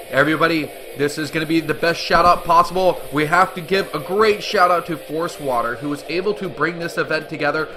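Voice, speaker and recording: A man casting a strategy game replay in an upbeat, explanatory way, stumbling now and then. His voice sits a little high. Music plays clearly behind him. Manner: upbeat, explanatory, frequent fillers and restarts. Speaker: game caster. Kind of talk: esports play-by-play commentary. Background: background music at the start.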